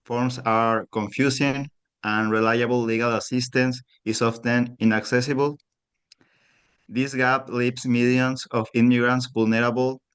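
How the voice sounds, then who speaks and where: A man from Mexico with a Mexican Spanish accent, giving an earnest prepared pitch over a remote call while unwell. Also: clean tone. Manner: steady, earnest delivery, pausing to ask for the next slide. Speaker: pitch contestant.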